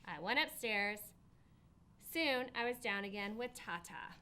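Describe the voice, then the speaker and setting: A woman narrating a children's picture book expressively, with deliberate, emphatic phrasing. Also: mid-range voice, lively intonation, sharp tone, clean tone. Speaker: assistant principal reading a picture book.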